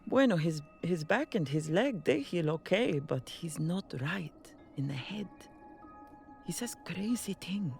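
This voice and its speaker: A jittery, superstitious woman, acted by a woman, in an audio drama, talking slowly in halting, broken English. Faint music plays behind her. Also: fairly low pitch, lively intonation, creaky, darkish tone. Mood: fretful. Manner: nervous, halting, broken English with Spanish words. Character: frightened superstitious woman, café worker. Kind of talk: audio drama table read.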